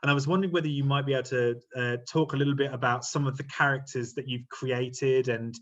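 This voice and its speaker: A middle-aged man moderates a panel from his home over a video call, his voice sitting in a middle pitch. He talks in a friendly, halting way, full of ums. The sound is slightly dull and muffled. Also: steady tone, a bit bright. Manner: friendly, hesitant, many ums and uhs. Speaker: university lecturer hosting a panel. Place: home room.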